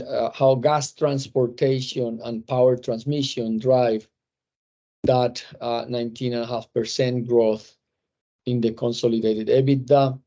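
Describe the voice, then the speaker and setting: A man walks listeners through quarterly figures on a conference call, pausing often and restarting phrases. His voice sits mellow and dark. The audio is muffled and lo-fi. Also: medium pitch. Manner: measured, hesitant with frequent fillers and restarts. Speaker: company executive presenting results. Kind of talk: earnings call presentation.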